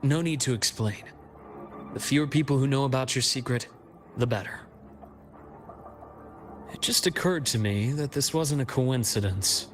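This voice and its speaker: An actor playing a composed, shrewd investigator in a video game, speaking in a measured, analytical way. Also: male voice, mid-range voice, soft background music, natural voice. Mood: composed, serious. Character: composed, shrewd male investigator sharing intelligence. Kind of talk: scripted video game dialogue.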